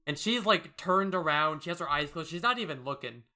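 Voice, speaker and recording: A male co-host describes a comic in casual chat. His voice is bright and forward, slightly high for a man, and he talks in a loose, halting, agreeable way. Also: lively intonation.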